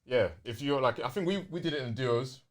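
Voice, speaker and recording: Enthusiastic young London man, medium pitch. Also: brisk. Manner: enthusiastic, slangy. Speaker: gaming podcast host. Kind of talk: casual gaming podcast chat.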